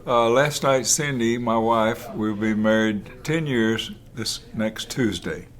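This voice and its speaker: An older man giving a casual family speech to the camera, earnest, halting and rambling. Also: lowish voice. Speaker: lawyer, host of the video.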